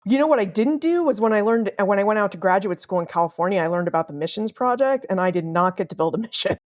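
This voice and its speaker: A woman from New England with a mild northeastern US accent, chatting brightly as a guest historian on a podcast livestream. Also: medium pitch, lively intonation, fast talker. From New England, USA. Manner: bubbly, chatty. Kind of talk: casual livestream chat.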